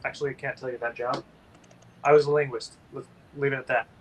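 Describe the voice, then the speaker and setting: A man's voice: mid-range voice, level tone, fast talker, poor audio. A man hosting a casual painting livestream, rambling and sleepy with lots of ums.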